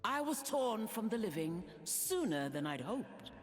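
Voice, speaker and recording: An actress playing a proud, ruthless warrior matriarch in a video game, speaking in a menacing, declamatory way. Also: female voice, medium pitch, sing-song, a little slow. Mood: proud, reverent. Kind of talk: scripted video game character voice lines. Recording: long reverb, music behind.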